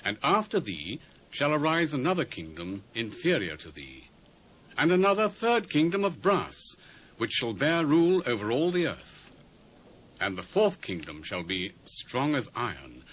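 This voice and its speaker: A man's voice: medium pitch, a bit bright. An actor with a British accent playing a solemn prophet who declaims grave, archaic pronouncements to a king in a dramatised scripture reading. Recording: noisy old cassette dub.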